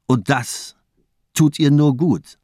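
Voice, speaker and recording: An actor in a radio crime drama playing a cold, dapper villainous doctor, calm, superior and ironically polite. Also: male voice, fairly high pitch, takes it slowly, slightly rough, no room sound, studio quality. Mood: mocking politeness.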